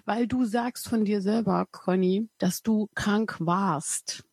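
A woman hosting a podcast interview, speaking slowly and earnestly in long, winding sentences. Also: medium pitch, darkish tone, slightly rough, no room sound. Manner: slow, emphatic, speaks in long run-on sentences. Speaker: podcast host. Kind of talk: warm interview conversation.

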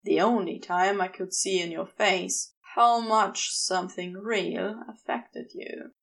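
A woman's voice: mid-range voice, fairly even intonation, slow, creaky, fairly bright tone, pitched below the performer's own voice, low-quality recording. A woman voicing an adoring, teasing male demon tempter in a story, with a seductive drawl.

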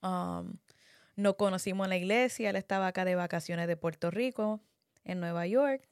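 Woman, 30s, English native speaker, podcast co-host. Calm, mixes in English. Smooth, clean voice, dry room. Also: mid-range voice, moderate pace, dry recording. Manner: calm, slips English words into Spanish. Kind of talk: casual personal chat.

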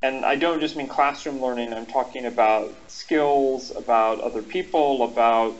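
A man's voice: mid-range voice, normal speed. Reflective man, dull lo-fi sound. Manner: reflective, many ums and restarts. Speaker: guest expert. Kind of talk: thoughtful conversational explanation. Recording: dull lo-fi audio.

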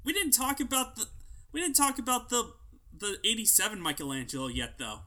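A man's voice: sits high, lively intonation, heavy background noise. A man with a nasal voice co-hosting a podcast, chatting casually and arguing his points. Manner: argumentative, quick to disagree. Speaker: podcast co-host. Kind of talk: casual chat.

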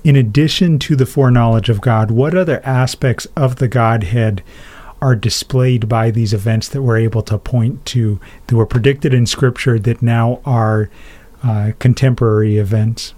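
A man who interviews his guest and reads scripture aloud in a steady, measured way. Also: medium pitch.

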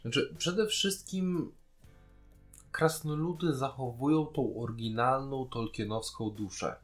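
Expressive, emphatic man. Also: medium pitch, measured pace, faint music behind. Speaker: podcast co-host. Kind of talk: casual review chat about a TV series.

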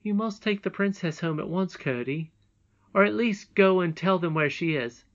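A woman voicing a kindly country mother in a storybook, caring and gently admonishing. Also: on the low side, normal speed, muffled recording, voice pushed up, close to an everyday voice. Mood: gently firm.

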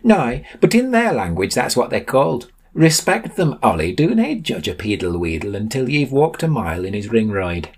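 A man's voice: mid-range voice, very animated, moderate pace, hardly any pauses, slightly rough, voice pushed up. A man voicing an eccentric, bossy, aloof adventurer with theatrical delivery and oddly mixed dialect words in a story. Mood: pompous.

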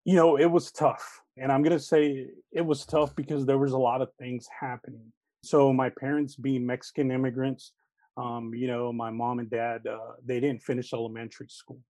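A man's voice: medium pitch, warm tone, no room sound. A man in his thirties who grew up speaking Spanish, answering interview questions about his life as an elementary school teacher, earnest and reflective, with many "uh"s and "you know"s. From Texas, United States.

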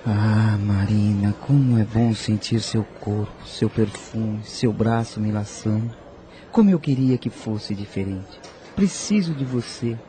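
A man's voice: on the low side, a little slow, warm tone, slight background noise, natural voice. A man with a Brazilian accent playing a guilt-ridden, tender and troubled man in a radio soap. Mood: longing, anguished. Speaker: radio drama actor.

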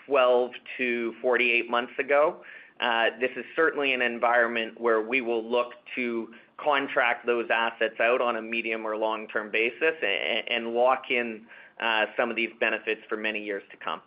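A man speaking as a company executive answering questions on an earnings call, measured and confident, often restarting and repeating words. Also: mid-range voice, flat delivery. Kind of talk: corporate earnings call Q&A, unscripted. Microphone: conference call webcast line. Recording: dull, band-limited conference call audio.

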